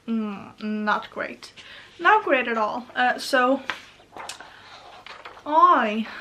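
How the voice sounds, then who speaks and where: A young Norwegian woman talks on and on in a loose, rambling way, stacking fillers and side thoughts. Her voice sits a little high and her accent colors her English. She speaks from her bedroom. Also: a bit bright, slight background noise. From Norway. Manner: chatty, rambling, many fillers. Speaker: vlogger. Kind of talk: rambling casual monologue.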